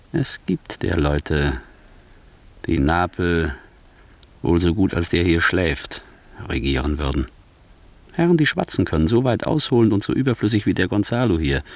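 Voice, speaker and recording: A deep, gravelly scheming nobleman. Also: full character voice. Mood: mocking, insinuating. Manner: theatrical, insinuating, rhetorical. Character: scheming ambitious nobleman, smooth-tongued, ruthless tempter. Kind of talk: classic German radio play acting, verse drama.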